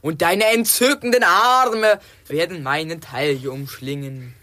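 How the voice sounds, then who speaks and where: A man's voice: fairly high pitch, slow pace, pushed and bright, a little hiss or hum. A man playing a cocky teenage boy in a children's audio drama, with slurred syllables and a natural, untrained delivery. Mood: bewitched, swooning. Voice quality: slurred diction, swallowed syllables. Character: older boy, cocky teenage crush of a witch.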